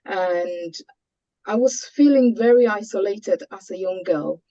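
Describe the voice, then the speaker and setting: A woman with a Cameroonian accent giving a personal testimony as a webinar panelist, earnest and measured in her delivery. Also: medium pitch, dark tone. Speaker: panelist sharing a patient's experience. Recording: dull, lo-fi online call audio.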